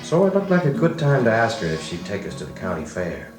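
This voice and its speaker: An actor playing a grown man recalling his farm boyhood, narrating a children's record drama in an unhurried, expressive way. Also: mid-range voice. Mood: reflective storytelling. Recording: vintage 45 rpm record, music behind, roomy.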